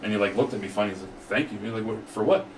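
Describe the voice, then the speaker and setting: A man's voice: lowish voice, rapid-fire. Man telling stories, echoey room. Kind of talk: casual storytelling chat. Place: studio room. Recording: roomy, distant mic, faint background noise.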